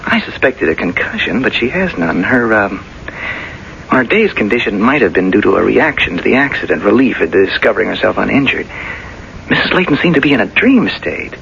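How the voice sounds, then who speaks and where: Tactful, hesitant doctor, bright gravelly voice, confiding radio drama delivery, muffled recording. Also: male voice, lowish voice, sing-song, a little hiss or hum, heavily acted. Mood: hesitant concern. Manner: halting, careful, confiding. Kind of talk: 1970s radio drama acting.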